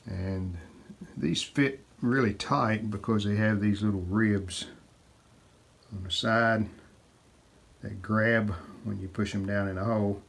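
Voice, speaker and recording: Slow, low-voiced man demonstrating repairs. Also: steady tone, gravelly. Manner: unhurried, plainspoken, pausing mid-sentence. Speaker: host of a restoration how-to video. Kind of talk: casual hands-on demonstration narration.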